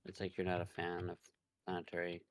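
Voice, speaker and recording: A man's voice: low voice, monotone, brisk, a little hiss or hum. A man co-streaming with a friend in casual, teasing banter.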